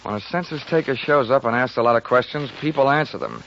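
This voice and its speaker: A man's voice: medium pitch, quick pace, creaky, a bit bright, slight background noise. A man acting a wry, wisecracking insurance investigator in a 1940s radio drama, clipped and hard-boiled. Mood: sardonic, pressing. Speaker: lead actor and narrator of a radio detective drama. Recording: muffled 1940s radio recording with music.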